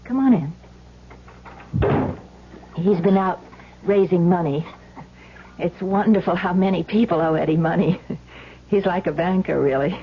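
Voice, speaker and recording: A woman's voice: lowish voice, unhurried, long pauses, slight background noise. An actress playing a wry, bitter wife of a crook, probing as she talks, in a 1970s radio drama. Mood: wry, hospitable. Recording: dull lo-fi old radio recording.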